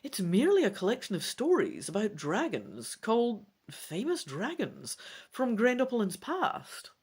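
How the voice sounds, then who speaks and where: A woman's voice: medium pitch, huge pitch swings, measured pace, darkish tone, husky, heavily acted. A woman voicing a suspicious female elf companion, wary and pointed, in a dramatic storybook reading.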